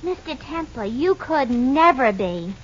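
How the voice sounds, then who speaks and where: An actress playing an excitable, chatty young showgirl in an old American radio drama, breathless and wide-eyed. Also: high voice, a little slow, faint music behind, full character voice. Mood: puzzled, indignant. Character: excitable young showgirl musician, plain-spoken and impressionable. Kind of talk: 1940s-50s radio acting. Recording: muffled, hissy old radio recording.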